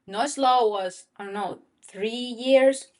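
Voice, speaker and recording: A woman's voice: medium pitch, takes it slowly, a little mellow. A woman whose first language is Spanish, co-hosting a casual chat about dating, often restarting her sentences and repeating words. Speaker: podcast co-host.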